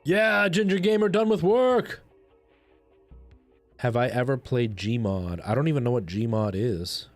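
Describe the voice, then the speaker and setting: Guy streamer w avg pitch voice, casual keen chat while gaming, game music in the back. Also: expressive, a little slow. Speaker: video game livestream host.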